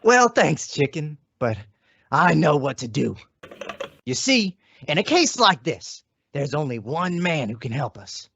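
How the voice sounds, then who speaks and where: Al, a cocky, creaky-voiced schemer played by a student. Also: medium pitch, sing-song, unhurried, background noise. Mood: confident. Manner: cocky, slangy wise-guy patter. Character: shady wisecracking schemer boyfriend, a man. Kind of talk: old-time radio comedy acting. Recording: dull, lo-fi, dry audio.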